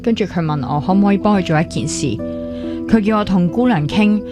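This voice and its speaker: A woman's voice: mid-range voice, a little fast, over music, lightly acted. A woman with a Hong Kong Cantonese accent acting a caring young hospital worker in a radio drama, speaking earnestly and conversationally with some English words mixed in. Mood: reflective, concerned. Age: young adult.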